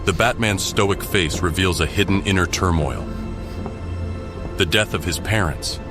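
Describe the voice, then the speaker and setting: A man with a deep voice narrates an audio drama. His delivery is scripted and dramatic, telling the action scene by scene. Loud music runs beneath him throughout. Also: a little slow. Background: loud dramatic score.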